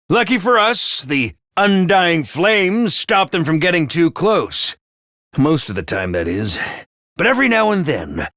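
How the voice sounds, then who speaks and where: A theatrical, bloodthirsty commander barks orders with game-show flair. His voice sits high and swoops wildly between grand declarations and sly asides. It sounds bright and close, recorded in a dry studio. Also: no echo, heavily acted. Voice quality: booming, theatrical, drill-sergeant bravado. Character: flamboyant male military commander mascot, theatrical, bloodthirsty. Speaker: commander announcer. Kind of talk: video game voice lines.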